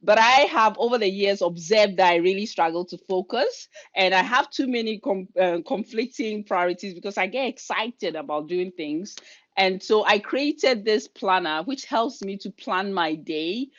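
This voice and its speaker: A middle-aged woman with a Ghanaian accent, leading an online workshop in a quick, energetic, chatty way over a video call. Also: mid-range voice, lo-fi sound. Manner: fast, energetic, chatty delivery. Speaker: leadership coach and workshop leader.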